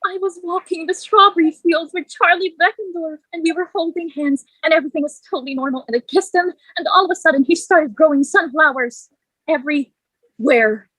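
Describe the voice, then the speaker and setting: Lovesick teenage camper girl played by a woman: very high pitch, tearful, rambling, close dry mic. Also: a little fast, a bit bright, no room sound, low-quality recording. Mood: heartbroken. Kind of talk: musical theatre table read.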